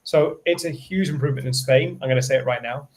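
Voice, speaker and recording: Quick, emphatic man co-presenting. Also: medium pitch, darkish tone. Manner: emphatic, recommends strongly, repeats words. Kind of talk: enthusiastic product webinar talk.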